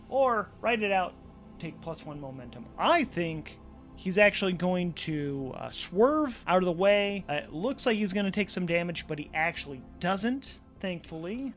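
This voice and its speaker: High-voiced man narrating a solo game. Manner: animated, conversational, thinking aloud. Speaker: host and solo game master. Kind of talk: solo tabletop game actual-play narration. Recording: background music under the voice. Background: music.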